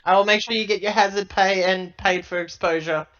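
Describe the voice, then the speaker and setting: A man's voice: high-pitched, steady tone, brisk, fairly bright tone. Man in muffled lo-fi game chat. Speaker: tabletop game player. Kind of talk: casual game chat, in and out of character. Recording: dull lo-fi laptop audio.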